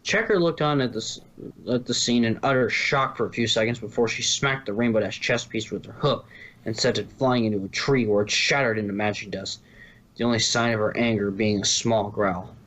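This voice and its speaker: A man's voice: lowish voice, a little fast, a bit bright, rough recording. A man reading story narration from a script, now and then stumbling and rereading a phrase. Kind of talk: scripted fan fiction narration.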